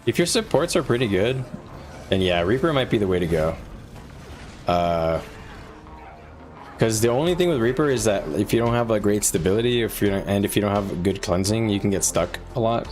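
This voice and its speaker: Low-voiced man chatting on a game stream. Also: expressive, fairly quick, a little mellow. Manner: informal, conversational, explaining advice to viewers. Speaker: game livestreamer. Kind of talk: casual live gaming stream chat. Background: music.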